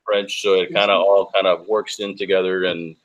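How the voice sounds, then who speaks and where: A man's voice: low voice, level tone, moderate pace, muffled recording. A man, son of Cuban parents and a beard company owner, talking casually on a group video call and rambling with many restarts. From United States, Cuban parents.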